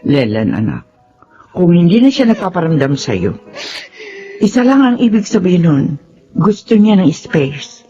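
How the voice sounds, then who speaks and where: An animated, scolding Filipina mother. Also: lowish voice, unhurried, pauses often, highs cut off, soft background music. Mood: frank, advising. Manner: reproachful, pressing questions. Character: worried, scolding middle-aged Filipina mother. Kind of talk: emotional radio-drama acting.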